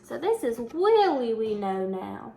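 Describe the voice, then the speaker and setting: A woman with a high voice reads a children's picture book aloud as a teacher would. She narrates and comments, addressing young listeners directly and prompting them to think. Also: very animated, unhurried, dark tone, slight background noise.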